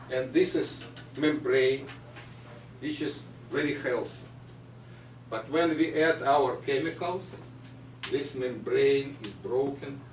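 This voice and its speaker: A man with a Ukrainian accent lectures haltingly, with many "uh"s. He sounds distant and echoey in a lecture hall. A faint hiss runs beneath him. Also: medium pitch. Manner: halting non-native phrasing with frequent fillers. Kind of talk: public science lecture.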